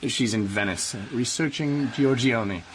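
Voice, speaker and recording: An actor playing an ambitious, cynical American politician in a radio drama, confident, glib and worldly. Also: male voice, medium pitch, slow, natural voice, low-quality recording. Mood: genial charm. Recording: faint background noise.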